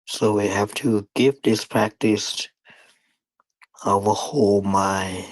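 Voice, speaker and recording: Slow, low-voiced man guiding meditation. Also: steady tone, dry recording. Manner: deliberate, halting phrasing with long pauses. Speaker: meditation teacher. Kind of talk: guided meditation dharma talk.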